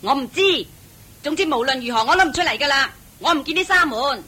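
A woman's voice: high voice, animated, brisk, bright, forceful tone. An actress with a Hong Kong Cantonese accent voicing a stubborn, grumbling female housekeeper in a radio drama. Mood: defiant. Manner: petulant, sulky and defiant. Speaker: voice actor.

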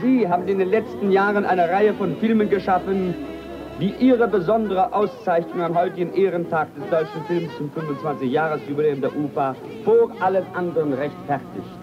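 A man's voice: high voice, a little fast, music in the background. A man giving a formal, declamatory ceremonial speech on a dull 1940s archive recording.

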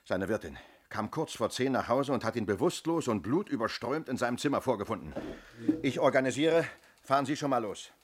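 An actor playing a sceptical, methodical police inspector in a 1970s German radio play, deliberate and authoritative, with a husky voice. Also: male voice, medium pitch, lively intonation, lightly acted. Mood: brisk, commanding.

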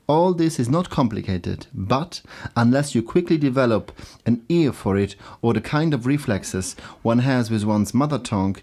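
A man teaching in a scripted language lesson, explaining didactically and enunciating examples slowly and deliberately. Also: medium pitch, darkish tone.

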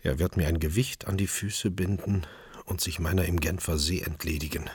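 An actor playing a tense chef who narrates his own crime story in a German radio drama, terse and inward, with a husky, rough voice. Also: sits low, fairly even intonation, moderate pace, darkish tone. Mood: dazed, grim.

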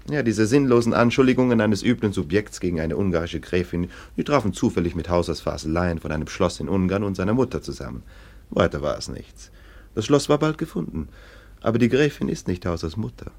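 Very deep, scornful police lieutenant. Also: male voice, animated, fairly quick, darkish tone. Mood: smug, dismissive. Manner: sardonic, dismissive. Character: scornful, domineering male police lieutenant, sceptical and sly. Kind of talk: German radio play acting.

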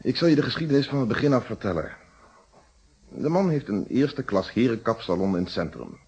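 Husky, firm police inspector. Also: male voice, medium pitch, very animated, brisk, darkish tone, full character voice. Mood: narrating, probing. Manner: articulate, formal, explanatory. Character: calm, principled male police inspector, middle-aged, firm and methodical. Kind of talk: Dutch radio detective play acting. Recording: dull, muffled old radio recording.

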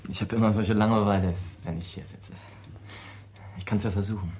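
A man's voice: lowish voice, steady tone, fairly quick, a little mellow, slight background noise, close to an everyday voice. An actor playing a bored, reluctant male student who speaks listlessly in a radio play.

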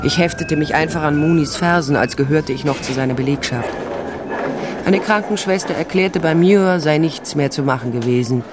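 An actress playing a determined, wry private investigator, narrating a crime drama in the first person, dry and matter-of-fact. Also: female voice, low voice, moderate pace, very noisy, natural voice. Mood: sober, dry. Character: resolute, wry female private investigator narrating her case.

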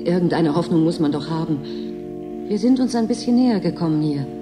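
A woman's voice: on the low side, expressive, a little fast, close to an everyday voice. Hopeful, pleading woman over music played by an actress. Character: hopeful, pleading female companion at a remote station. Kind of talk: radio drama acting. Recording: music behind the voice.

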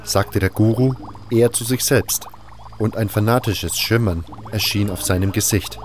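A man's voice: on the low side, normal speed, faint music behind, close to an everyday voice. An actor playing a blunt, weary adventurer who narrates his own quest and speaks his lines in an audio drama. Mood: measured narrating. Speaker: narrator and protagonist. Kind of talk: scripted first-person audio drama narration and dialogue.

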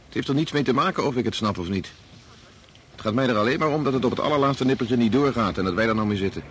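An actor playing a bitterly disappointed grown son in a Dutch radio drama, prickly, argumentative and reproachful. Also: male voice, mid-range voice, quick pace, a bit bright, a little hiss or hum, lightly acted. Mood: irritated, reproachful. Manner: argumentative, exasperated.

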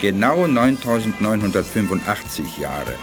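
A grave, thoughtful alien scientist, voiced by, speaks deliberately in a lowish voice. His lines ring with a long reverb, and faint music sits behind this radio play. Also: big pitch swings. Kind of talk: 1980s German radio play acting.

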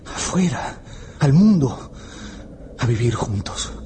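A man's voice: mid-range voice, very animated, slow pace, mellow, slight background noise. A man playing an earnest, troubled young lover in a radio drama, with intense, dramatic phrasing. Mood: pleading, urgent.

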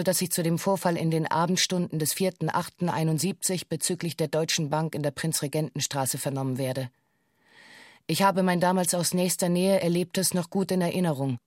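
An actress playing a female witness in a radio play, reciting a police statement in a stiff, measured, matter-of-fact way. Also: low voice, a little mellow, dry recording, clean studio sound. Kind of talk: radio play, formal recited testimony in bureaucratic German.

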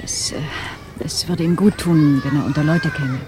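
An actress playing a concerned, formal professional woman in a German radio play, measured and a little hesitant. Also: low-pitched, fairly even intonation, noisy background, close to an everyday voice. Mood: cautious.

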